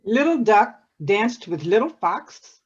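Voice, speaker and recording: A woman's voice: medium pitch, sing-song, slow pace, husky. A woman performer reading story and verse aloud in readers theatre. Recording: dull lo-fi recording.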